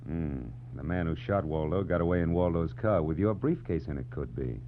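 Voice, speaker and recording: A deep-voiced man acting as a tough American private eye, wry and clipped. He delivers wisecracks and cool narration in 1940s radio drama style. The old recording is narrow and muffled, with a faint hum. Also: normal speed, lightly acted. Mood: cool, sardonic.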